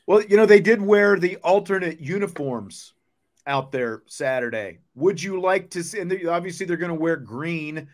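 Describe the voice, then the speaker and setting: A man's voice: fairly high pitch, animated, normal speed. A middle-aged man hosting a sports show in a casual, conversational style. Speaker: sports talk show host.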